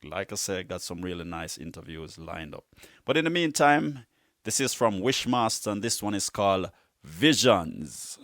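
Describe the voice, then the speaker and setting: Deep-voiced laid-back male music host. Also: big pitch swings, moderate pace, fairly bright tone. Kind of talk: casual radio-style chat between music tracks.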